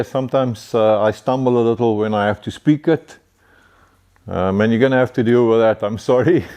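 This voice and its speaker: A middle-aged South African man with an Afrikaans accent talks through his car-building vlog in a relaxed, chatty way. He chuckles often and stumbles over a word now and then. His voice sits at an ordinary middle pitch. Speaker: car builder hosting a community show. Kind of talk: casual vlog chat.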